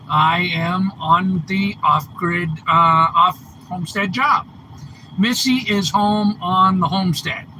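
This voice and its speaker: Slow-talking gravelly man in a truck. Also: high-pitched, bright, forceful tone, a little hiss or hum, rough recording. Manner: rambling, chatty, fumbling with gear. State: congested, recovering from a cough. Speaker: homestead channel host. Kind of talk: casual live stream chat. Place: inside a truck. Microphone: phone camera held in hand.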